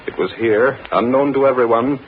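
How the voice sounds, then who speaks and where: A man's voice: medium pitch, normal speed, slight background noise, lightly acted. A man narrating an old-time radio adventure serial in a scripted, dramatic style. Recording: dull old radio broadcast recording. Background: music.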